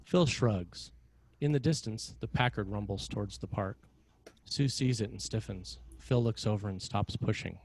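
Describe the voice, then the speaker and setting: A man reading scripted narration and stage directions as a screenplay narrator, in a measured, dramatic way. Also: on the low side, darkish tone.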